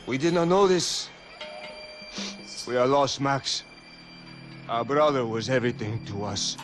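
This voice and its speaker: A man's voice: mid-range voice, lively intonation, measured pace, a bit bright, faint music behind. An actor playing a distraught, wealthy Brazilian man in a game, speaking with a Brazilian accent in a courteous, pleading way. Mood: grieving, pleading.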